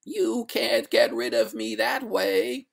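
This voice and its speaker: A narrator voicing a genteel, haughty female water ghost in a storybook, pleading in drawn-out, breathy, melodramatic wails. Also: medium pitch, sing-song, pitched above the performer's own voice, full character voice. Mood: defiant.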